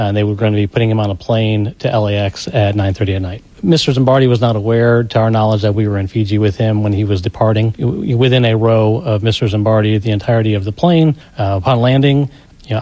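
Quick, mellow low-voiced man. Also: no echo. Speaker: FBI special agent being interviewed. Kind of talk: interview recollection.

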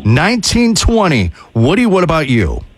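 A man hosting a radio trivia game, briskly repeating the answers and keeping score. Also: fairly high pitch, wildly swooping pitch, music in the background. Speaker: radio host.

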